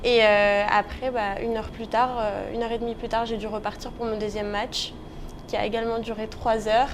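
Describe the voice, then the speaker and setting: A woman's voice: fairly high pitch, little pitch movement, normal speed, noisy background. A nineteen-year-old woman from the Paris region, giving casual interview answers. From Paris region, France. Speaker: interview guest, professional tennis player.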